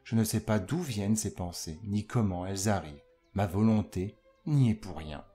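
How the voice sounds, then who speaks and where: A man voicing a famous composer describing his musical inspiration in a formal, measured, literary way. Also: on the low side, mellow, faint music behind, close to an everyday voice. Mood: reflective. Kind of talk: dramatised reading of a quotation.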